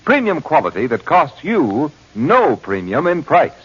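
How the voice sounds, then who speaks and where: A man's voice: a little high, wildly swooping pitch, measured pace, husky. A man announcing a commercial in a 1940s radio advertising style. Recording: dull old radio recording.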